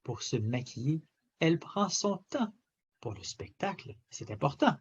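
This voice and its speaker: A man reading a children's story aloud in an expressive, theatrical way with emphatic phrasing. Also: fairly high pitch, unhurried, slightly rough, muffled recording. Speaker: storybook reader.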